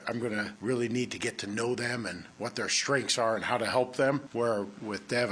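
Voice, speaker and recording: Man, football coach, press conference clip, bright tone, husky, measured. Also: fairly low pitch.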